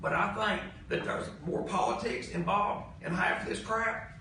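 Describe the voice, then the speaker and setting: A man's voice: sits high, moderate pace, gravelly, sharp tone. A county commissioner, a man with a Southern US accent, speaking heatedly at a public meeting in a blunt, folksy, exasperated way and repeating his points for emphasis. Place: indoor public meeting room. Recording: noisy, very echoey meeting room, distant mic.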